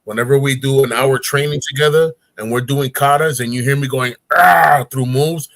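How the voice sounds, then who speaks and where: A man's voice: mid-range voice, steady tone, moderate pace, fairly bright tone, no echo, rough recording. A man in his forties, a guest on a casual talk show, telling stories with energy and acting out grunts and voices. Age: 40s.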